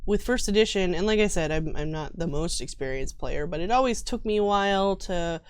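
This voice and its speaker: Woman on a gaming stream, voice a bit on the low side, informal casual chat, pokes a little fun at herself. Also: lively intonation, brisk, clean tone. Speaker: player and cast member of a tabletop game show.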